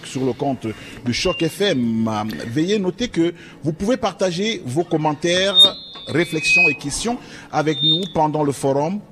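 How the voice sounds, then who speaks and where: Male forum host, mid-pitched and announcer-like, in a live hall with a long echo. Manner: announcer-like, with emphatic rising openers. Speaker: forum moderator. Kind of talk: moderating a live panel forum. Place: event hall with an audience. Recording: reverberant hall, faint noise.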